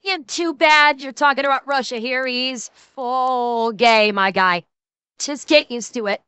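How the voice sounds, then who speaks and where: A woman's voice: high-pitched, a little slow, pushed and bright, clean tone, no room sound. A woman streamer giving crude, profane, laughing reaction commentary.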